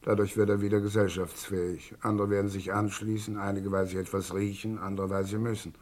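Actor as a low, husky, scheming financier. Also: male voice, little pitch movement, brisk, darkish tone, natural voice. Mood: calculating. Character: cynical, gloating titled British financier scheming on the stock exchange. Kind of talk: vintage German crime radio drama acting.

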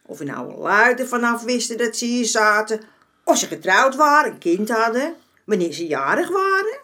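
A woman's voice: fairly high pitch, sing-song, normal speed, pushed and bright. An actress playing a streetwise former madam in her seventies in a radio drama, folksy and dismissive in manner. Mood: nostalgic, fond. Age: 70s. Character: streetwise 74-year-old former madam, motherly but brazen.

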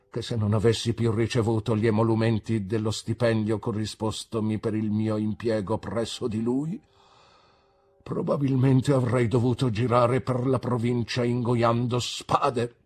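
A man voicing a pompous, grandiloquent gentleman down on his luck, speaking in flowery, theatrical phrases. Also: on the low side, slightly rough.

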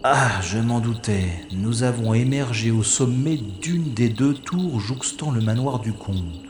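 An actor playing a wry special agent who narrates in first person with dry, understated quips. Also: male voice, mid-range voice, measured pace, darkish tone, a little hiss or hum, lightly acted. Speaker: hero and narrator. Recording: music score playing underneath.

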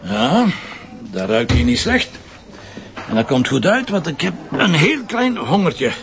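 A man's voice: fairly high pitch, big pitch swings, measured pace, gravelly, lo-fi sound, noisy background, exaggerated voice. A man with a Flemish accent playing a jovial, cheeky, food-loving police commissioner in a radio crime drama, bantering colloquially. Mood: wry, playful. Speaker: actor.

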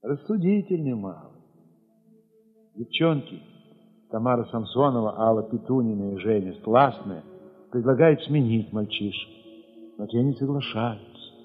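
Radio play: war-weary man remembering besieged children, tender and emotive, husky, very slow, swelling and hushing. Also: mid-range voice, lively intonation, big-room sound, phone-quality sound, soft background music. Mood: somber, tender. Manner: measured, emotive, with dramatic swells and hushed drops. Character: war-weary male dance ensemble leader recalling besieged children, tender. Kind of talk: dramatic literary monologue in an audio play.

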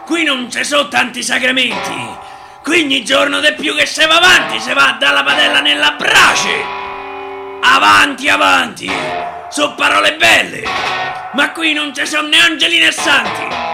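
A mutinous sailor with a Roman accent, acted by a male storyteller, bluntly confronts his captain in a high, shouted voice. Each complaint is declaimed as radio theatre over guitar music. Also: gravelly, full character voice. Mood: defiant, indignant. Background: acoustic guitar music.

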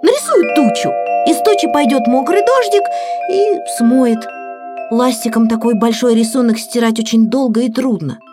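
A woman's voice: fairly high pitch, animated, moderate pace, over music, close to an everyday voice. A woman voicing a male artist who teaches magic, firm, kindly and instructive, in a children's audio play. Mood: scolding, practical.

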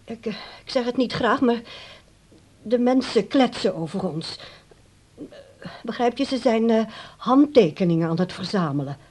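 Mellow, high-voiced anxious woman. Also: lively intonation, moderate pace, pauses often, breathy edge. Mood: uneasy, careful. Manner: hesitant, careful, uneasy. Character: anxious, dutiful grown daughter caring for old father. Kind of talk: radio play dialogue.

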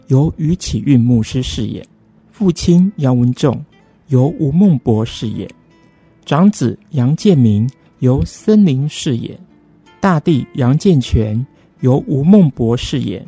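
Very dark, husky-voiced male radio host. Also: mid-range voice. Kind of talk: late-night radio introduction.

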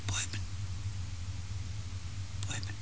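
An actor playing a weak, frightened, withdrawn empath in a radio drama, speaking in faint, halting phrases. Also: male voice, a bit bright, lightly acted, rough recording. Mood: halting, fearful. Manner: faint, halting, whispered speech. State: weakened, exhausted.

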